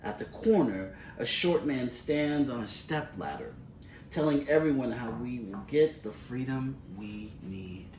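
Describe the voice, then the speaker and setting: A man reading a children's storybook aloud expressively, in measured, deliberate phrases with pauses. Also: mid-range voice, animated, a little mellow. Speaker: picture book reader. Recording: roomy, distant mic with faint noise.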